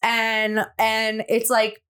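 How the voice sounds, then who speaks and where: A woman's voice: on the high side, completely flat delivery, very slow, fairly bright tone. A woman in casual podcast chat, slangy and emphatic, often acting out thoughts as she explains a point. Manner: slangy, emphatic, explains through imagined self-talk. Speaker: podcast co-host.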